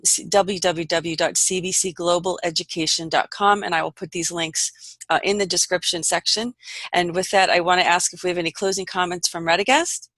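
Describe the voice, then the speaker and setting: A woman's voice: lowish voice, fairly bright tone, no echo. Woman hosting, close dry sound. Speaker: host of an online panel report. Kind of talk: unscripted panel hosting.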